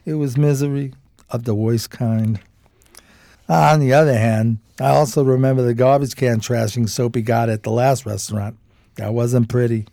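An actor playing a streetwise, loyal homeless pal in a 1920s New York radio play, with slangy, folksy, casual speech. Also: male voice, mid-range voice, steady tone, moderate pace, vocal fry, mellow, no room sound, clean studio sound, natural voice. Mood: wry, envious. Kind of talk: radio play acting and storytelling.

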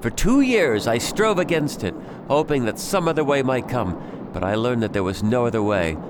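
A man's voice: mid-range voice, animated, background noise, close to an everyday voice. Man acting a coldly vengeful pirate, swooping delivery. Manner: menacing, deliberate, theatrical speechifying. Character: bereaved husband turned pirate, coldly vengeful, addressing his captive. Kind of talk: radio drama acting.

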